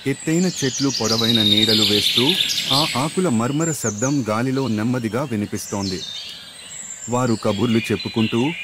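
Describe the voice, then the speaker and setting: A man's voice: mid-range voice, moderate pace, very noisy. A man reading a scripted story for children in the manner of a storyteller. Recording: loud birdsong and forest ambience behind. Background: chirping birds, outdoor nature sounds.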